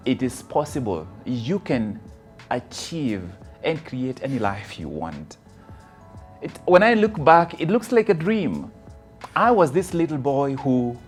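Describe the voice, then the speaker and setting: A man with a Kenyan accent giving a motivational personal testimony, phrasing deliberately and dramatically with emphatic stresses. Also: mid-range voice, a little mellow. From rural Kisii, Kenya. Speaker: motivational speaker.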